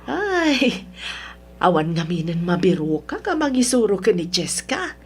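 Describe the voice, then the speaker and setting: A woman's voice: on the low side, big pitch swings, a little slow, darkish tone, slight background noise. A woman with an Ilocano Filipino accent playing a nagging, scornful middle-aged mother-in-law in a radio drama, sarcastic and demanding. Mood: prying, condescending. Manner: berating, sarcastic.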